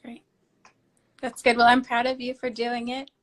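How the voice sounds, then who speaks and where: Supportive, playful woman host with a slightly high voice, on a live video call. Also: completely flat delivery, moderate pace. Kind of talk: casual interview chat. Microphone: phone on a live video call.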